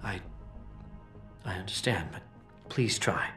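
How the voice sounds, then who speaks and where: An actor playing a grieving, self-righteous leader of a political movement in a video game, with measured, formal, oratorical speech. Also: male voice, low voice, fairly bright tone, soft background music. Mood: pleading. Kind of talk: dramatic video game acting.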